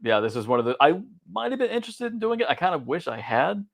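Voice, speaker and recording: A man's voice: fairly high pitch, sing-song, quick pace, gravelly. A middle-aged man talking casually as a podcast co-host, recounting things he watched. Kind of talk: casual chat, recounting TV memories.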